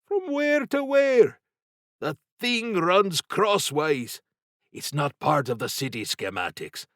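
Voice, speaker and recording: A man reading an audiobook, voicing a sly, obsessed workman boss with a sharp, urgent, goading manner. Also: a little high, big pitch swings, takes it slowly, dry recording, studio quality, pitched above the performer's own voice, exaggerated voice. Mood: excited, eager. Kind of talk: audiobook character dialogue.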